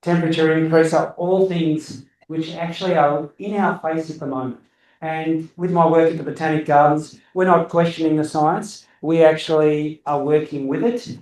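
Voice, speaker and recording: Middle aged aussie guy giving a talk, booming voice, slightly high, echoey room, heard from a way off. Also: a little mellow. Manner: loose, rambling, self-correcting. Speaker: guest speaker, landscape architect. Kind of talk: informal talk to a club. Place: meeting room. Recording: roomy echo, distant camera.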